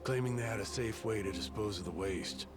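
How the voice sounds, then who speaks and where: A man acts out a middle-aged barkeep dad for a video game, low in pitch and gruff. He is suspicious and sardonic, a grudging former treasure hunter, with music playing behind him. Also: fairly quick, natural voice. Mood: wry, explanatory. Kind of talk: English video game voice acting.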